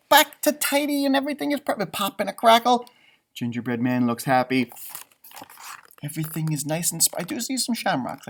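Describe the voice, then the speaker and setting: Very animated man reading to children. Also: very high-pitched. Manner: animated, expressive, exclaiming and musing aloud. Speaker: storytime reader and elementary teacher. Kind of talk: children's picture book read-aloud with chatty asides.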